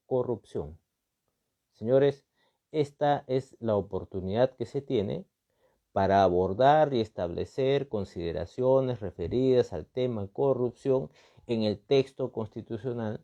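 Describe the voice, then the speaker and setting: Dull lo-fi recording, Peruvian man, mellow voice, political commentator, emphatic repetition, rhetorical questions. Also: mid-range voice. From Peru. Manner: emphatic, repeats phrases, frequent rhetorical questions and tags. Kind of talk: extemporaneous analytical talk.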